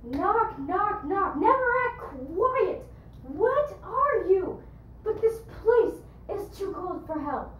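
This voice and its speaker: A woman's voice: very high voice, roomy sound, muffled recording, a little hiss or hum, pitched above the performer's own voice, heavily acted. A woman acting a grumbling, mocking male castle gatekeeper in a declamatory Shakespearean stage monologue. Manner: declamatory, exclaiming, sardonic.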